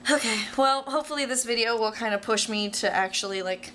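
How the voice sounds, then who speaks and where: A woman's voice: a little high, a little fast, pushed and bright, slight background noise. A young American woman talking casually to viewers like a video diary, rambling in a playful, self-deprecating way. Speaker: vlogger.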